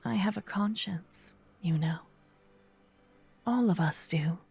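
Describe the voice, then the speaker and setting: Woman acting a breathy, slow, whispering vampire captor. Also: fairly low pitch, darkish tone, heavily acted. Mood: seductive, musing. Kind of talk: ASMR roleplay. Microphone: close binaural microphone.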